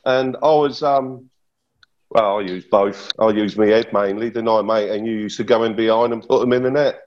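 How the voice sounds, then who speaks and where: A man's voice: on the low side, fairly even intonation, normal speed, a little mellow, lo-fi sound, a little hiss or hum. Matey man in his fifties. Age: 50s. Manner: matter-of-fact, matey, says "mate" a lot. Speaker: guest, former footballer. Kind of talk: casual reminiscing chat.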